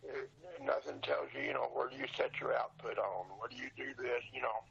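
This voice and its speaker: Older guy 60s+, super gravelly raspy voice, plain casual interview talk, kinda dull lofi audio. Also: medium pitch, brisk, crowd noise. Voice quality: gravelly, husky. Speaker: interview guest, old radio show collector and seller. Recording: dull lo-fi sound.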